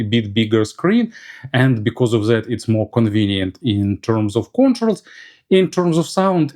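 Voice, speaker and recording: Guy doing a gadget review, average pitch, kinda halting bit by bit phrasing. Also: darkish tone. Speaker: audio gear reviewer. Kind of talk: product review commentary.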